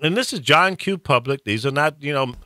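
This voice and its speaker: A man in full political rant, his pitch swinging widely as he pushes each point. His tone is bright and forceful, and his blunt delivery trips over repeated words. The sound is dry and close. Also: lively intonation, fairly quick, no room sound. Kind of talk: political rant on talk radio.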